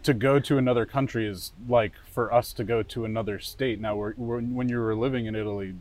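A man's voice: mid-range voice, fairly even intonation, a little fast, darkish tone. Male host, casual interview, friendly and rambling. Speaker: podcast host.

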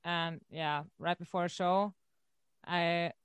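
A woman's voice: on the low side, fairly even intonation, takes it slowly, clean tone, no room sound. A woman singer answering interview questions, hesitant and searching for words. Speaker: band singer.

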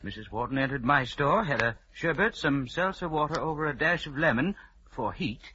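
An actor playing a chatty, fussily precise old-fashioned druggist giving an account in an old-time radio drama. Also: male voice, on the high side, lively intonation, normal speed, bright, forceful tone. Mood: eager, fussy. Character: chatty, precise 19th-century druggist recalling a customer. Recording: muffled, noisy old radio recording.

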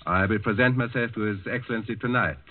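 A man's voice: lowish voice, quick pace, close to an everyday voice. A man acting a stern, cold Nazi officer who questions formally and imperiously in a 1940s radio drama. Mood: stern, probing. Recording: muffled 1940s radio broadcast recording.

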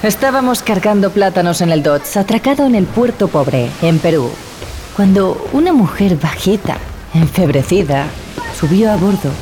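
A woman playing a wary ship passenger who recounts a horror tale in a measured, grave manner. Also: medium pitch, expressive, background noise, lightly acted. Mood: grave recounting. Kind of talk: dramatized first-person horror narration. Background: music.

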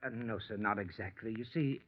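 A man's voice: on the low side, a little fast, no room sound, natural voice. An eager young schemer talks his way in, fumbling and then persuasive, as a man acts him out. The old radio recording is dry and dull. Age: young adult. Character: eager, scheming young male talent manager. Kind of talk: 1940s radio acting.

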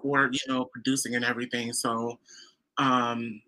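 A man's voice: medium pitch, flat delivery, normal speed, sharp tone, poor audio. A young man from Connecticut, a guest talking cheerfully and laughing easily in a casual interview. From Waterbury, Connecticut.

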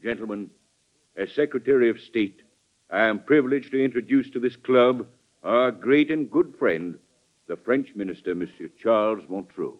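An actor playing a reasonable, polished Secretary of State in a classic radio drama, speaking in a careful, formal, tactful way. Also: male voice, medium pitch, normal speed, natural voice. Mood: oratorical. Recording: dull old radio recording.

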